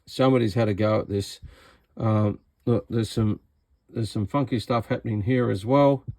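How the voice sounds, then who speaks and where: A man's voice: lowish voice, fairly even intonation, normal speed, warm tone, no room sound. An Australian man giving casual, hands-on commentary as he inspects equipment, speaking in a blunt, sarcastic way. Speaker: radio repair hobbyist presenting a video.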